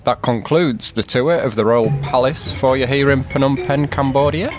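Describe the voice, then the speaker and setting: Male vlogger, calm informative voice-over, mid-range voice. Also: mid-range voice, moderate pace, dull audio, music in the background. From England. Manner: steady, informative tour-guide delivery. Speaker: travel vlog host. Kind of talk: scripted voice-over narration.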